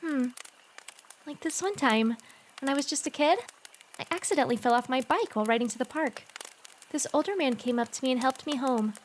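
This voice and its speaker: A woman's voice: sits high, brisk, pauses often, slight background noise. A woman playing a chatty, bubbly modern schoolgirl in a fan audio drama. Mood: cheerful, reminiscing. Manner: chatty, expressive.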